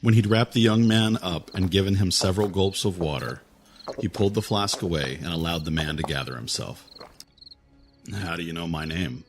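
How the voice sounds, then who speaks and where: A male narrator with a very deep voice tells a fantasy tale in a steady, even way. Faint music plays underneath. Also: a little fast.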